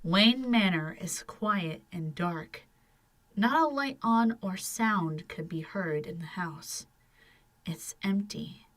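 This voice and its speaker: Bright-voiced woman narrating a drama. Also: medium pitch, animated, slow. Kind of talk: scripted audio drama narration.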